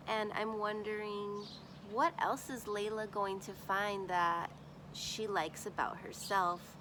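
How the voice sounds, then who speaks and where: Unhurried woman reading aloud over background noise. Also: mid-range voice. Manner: thinks aloud, poses questions to listeners. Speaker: teacher reading a picture book aloud. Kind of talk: read-aloud with guiding questions for children.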